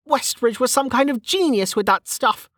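A woman's voice: fairly high pitch, animated, normal speed, a bit bright, no room sound, clean studio sound, pitched above the performer's own voice. A woman voicing a bitter, weary, hot-tempered and foul-mouthed woman in an audiobook, snappish and scornful. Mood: bitter, exasperated.

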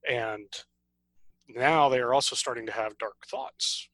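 A man's voice: mid-range voice, measured pace, fairly bright tone, no echo. A man co-hosting a casual podcast, summarizing a story and playfully teasing his co-host. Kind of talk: casual book summary and chat.

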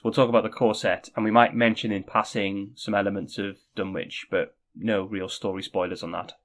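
A man co-hosting a casual podcast chat, friendly and a little halting, often restarting his sentences. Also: fairly low pitch. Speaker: podcast co-host.

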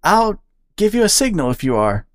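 A woman's voice: medium pitch, big pitch swings, moderate pace, no room sound, clean studio sound, voice pushed up, close to an everyday voice. A woman voicing a shy, nervous young female bat who stammers and apologizes. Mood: timid.

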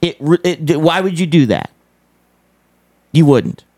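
A man hosting a casual live show, giving emphatic commentary on politics and economics with repeated phrases and a squeaky voice. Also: expressive, a little slow, a little mellow, no echo.

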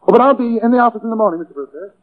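Suave, high-voiced club owner. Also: male voice, lively intonation, rapid-fire. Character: smooth-talking, flirtatious male nightclub owner. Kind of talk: 1940s radio acting. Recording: tinny old-time radio recording.

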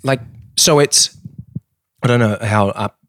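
A man's voice: medium pitch, slow pace, fairly bright tone, dry recording. Male host, rambling and jokey, close dry sound. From Australia. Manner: rambling, playful, laughs easily. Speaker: podcast host. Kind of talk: casual podcast chat.

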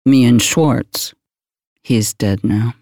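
An actor plays a world-weary older male detective in a noir audio drama. His voice is dark and soft-edged, and he speaks slowly, brooding in a deadpan way. The recording is close and dry. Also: medium pitch, dry recording. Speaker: police detective. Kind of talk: noir monologue, recollection.

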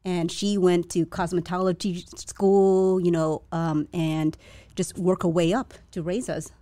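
A woman's voice: fairly low pitch, level tone, a little slow, mellow, no echo. A young woman born to a Chinese family in Vietnam, speaking as an interview guest in a hesitant, reflective way with many restarts. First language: a Chinese dialect. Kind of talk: casual interview.

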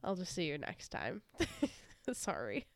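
A woman's voice: low voice, very animated, takes it slowly, gravelly, dry recording. A woman co-hosting a casual podcast, a little nasal from a sinus infection, chatty, laughing often and swearing casually. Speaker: podcast co-host.

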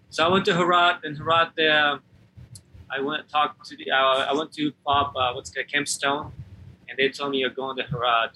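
A young man, a podcast guest telling his life story in an earnest, halting way. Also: mid-range voice, bright, forceful tone, a little hiss or hum, low-quality recording. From Afghanistan. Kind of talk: personal storytelling.

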